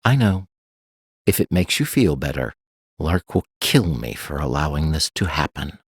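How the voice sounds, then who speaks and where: A man's voice: a bass voice, very animated, normal speed, slightly rough, no room sound, exaggerated voice. A man voicing a haughty, reserved master mage with a formal, pompous, dry manner in an audiobook. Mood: dry regret. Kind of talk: audiobook character acting.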